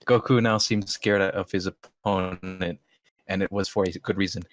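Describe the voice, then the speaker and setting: A deep-voiced man reads a story in a casual, amateur style. He is amused and trips over words now and then, recorded dry with little room around him. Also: steady tone, normal speed, no room sound. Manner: informal, amused, stumbling. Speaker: amateur reader. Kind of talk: casual read-aloud of a story.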